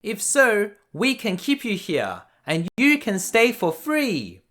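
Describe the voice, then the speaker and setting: A man voicing a friendly, excitable little storybook dwarf with a wondering, kindly manner. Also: high voice, slow, pitched above the performer's own voice, exaggerated voice. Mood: curious, kindly.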